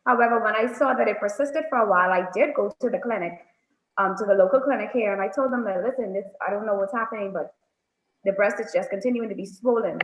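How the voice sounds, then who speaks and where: A woman, speaking softly and hesitantly as a guest on a video call. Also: mid-range voice, fast talker. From Bahamas. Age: 30s. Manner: soft-spoken, hesitant with frequent ums. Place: indoors, small room. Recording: roomy, dull phone audio.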